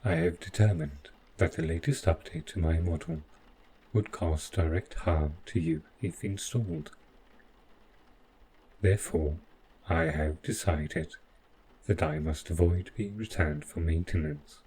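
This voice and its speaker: A man playing an overprotective robot butler gone rogue, speaking with formal, measured politeness in a scripted audio roleplay, calm and possessive. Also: low voice, a little hiss or hum, poor audio. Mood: calm, explanatory.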